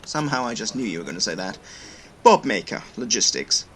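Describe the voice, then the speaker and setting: A man playing a busy, sardonic logistics technician with a dry, brisk manner in an audio drama. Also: fairly low pitch, very animated, fairly bright tone, a little hiss or hum.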